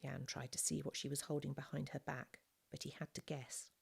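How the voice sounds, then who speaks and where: Female audiobook narrator, low register, scripted storybook reading. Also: flat delivery, a little fast, vocal fry, a little mellow, breathy edge. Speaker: audiobook reader.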